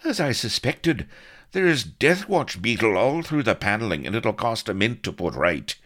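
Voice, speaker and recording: Man voicing swashbuckling rogue, cheeky and lively. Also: fairly low pitch, moderate pace, voice pushed up. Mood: improvising. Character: roguish swashbuckling male stowaway.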